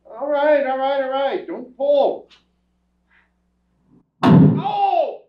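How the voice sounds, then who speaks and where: Grumbling husband, slow and high-strung, acted by a man. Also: expressive, exaggerated voice. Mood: grumbling, pained. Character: grumbling, bleary, put-upon husband. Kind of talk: old-time radio comedy acting on stage. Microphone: distant camera. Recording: tinny, echoey stage from far off.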